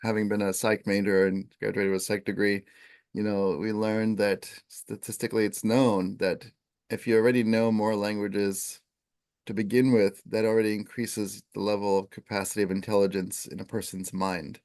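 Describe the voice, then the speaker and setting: Low-ish guy explaining ayurveda casually, lots of um and like, laid back. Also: moderate pace. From Italian first language. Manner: relaxed, filler-heavy, chants Sanskrit verses. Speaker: Ayurveda teacher. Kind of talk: conversational explanation.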